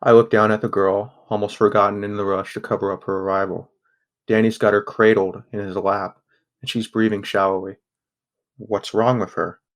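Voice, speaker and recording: A young man playing a guarded, sardonic teenage boy who narrates a grim audio drama in a subdued, even voice. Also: on the low side, normal speed, lightly acted. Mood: somber. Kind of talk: first-person scripted narration, audio drama.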